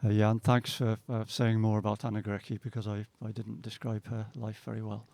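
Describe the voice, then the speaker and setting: A middle-aged or older man speaking haltingly and informally as he introduces readers at a poetry event. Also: lowish voice, little pitch movement, vocal fry, a little mellow, no echo. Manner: hesitant, halting. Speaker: event host introducing poets. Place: outdoors at a gallery event.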